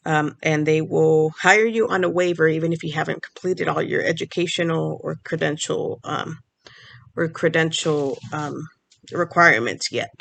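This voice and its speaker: A woman's voice: deep voice, normal speed, gravelly, fairly bright tone. A woman whose first language is Spanish, talking casually to camera as a vlogger and explaining things in a conversational way. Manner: explanatory, conversational, restarts phrases. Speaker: vlogger and speech pathology grad student.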